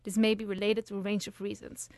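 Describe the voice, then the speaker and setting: A woman's voice: medium pitch, level tone, quick pace, darkish tone, dry recording. A young woman in her twenties from the Netherlands hosts a student radio show, reading a scripted intro with small slips. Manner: reads from a script, some hesitations. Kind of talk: scripted intro and casual chat.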